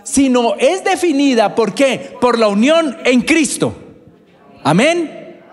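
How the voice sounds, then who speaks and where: A man's voice: very high voice, a little mellow. A man from Bogotá with a Bogotá Colombian accent, preaching a sermon and calling out congregation members by name. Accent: Bogotá Colombian Spanish. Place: church hall. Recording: reverberant church hall.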